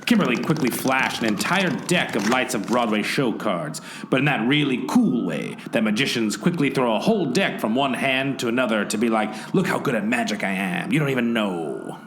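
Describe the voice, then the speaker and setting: A man's voice: medium pitch, animated, normal speed, few pauses, fairly bright tone, a little hiss or hum. A man playing a hard-boiled, brooding private eye who narrates and talks wryly in a noir radio play. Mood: dramatic brooding. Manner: melodramatic, wry. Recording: roomy echo, faint music bed. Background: faint music.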